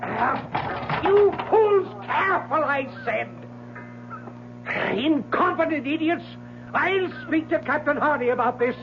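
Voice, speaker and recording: Agitated, high-pitched gentleman in radio drama. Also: expressive, slow, exaggerated voice. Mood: frantic, angry. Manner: imperious, barking orders, flustered. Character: agitated, irritable, secretive newlywed gentleman traveler. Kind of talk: vintage radio drama acting. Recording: muffled vintage radio recording, music behind.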